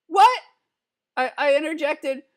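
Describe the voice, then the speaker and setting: A man's voice: extremely high pitch, animated, takes it slowly, a bit bright, heavily acted. A man voicing a nervous, timid adolescent cartoon boy, stuttering through narration in a comedic dramatic reading. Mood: alarmed. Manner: anxious stuttering, repeated word starts.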